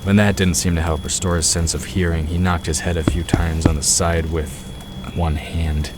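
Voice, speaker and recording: A man's voice: low-pitched, little pitch movement, moderate pace, noisy background. A man narrating a scripted fantasy story as the narrator of an audio drama.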